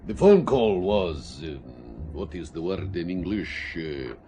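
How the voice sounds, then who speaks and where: An actor playing a slow, menacing foreign spy with halting English and a sardonic manner in an audio thriller. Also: male voice, sits low, lively intonation, warm tone, soft background music. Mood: sly, measured.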